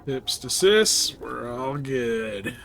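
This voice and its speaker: A man streams a space game with an unhurried, easygoing delivery. He chats casually and swears now and then, with light game noise behind his voice. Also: mid-range voice, animated, vocal fry, a bit bright. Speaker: live streamer playing a space combat game. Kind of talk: casual live gameplay chat.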